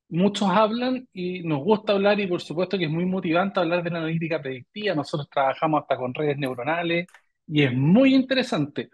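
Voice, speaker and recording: Chilean man, thoughtful and fairly high. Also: slightly rough, highs cut off. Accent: Chilean Spanish. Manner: thoughtful, repeats words while searching for phrasing. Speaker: guest. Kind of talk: interview answers.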